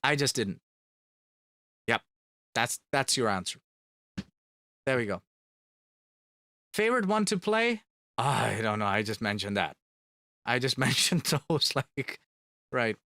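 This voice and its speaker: Lively, bright-voiced man streaming. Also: medium pitch, takes it slowly, pauses often, no echo, studio quality. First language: Finnish. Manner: chatty, repeats words for emphasis. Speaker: band member streaming to fans. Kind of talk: casual live stream chat reading questions.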